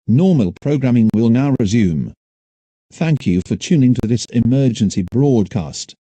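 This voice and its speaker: A man reads a scripted emergency alert as an announcer in a formal, measured way. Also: mid-range voice, lively intonation, warm tone, no echo.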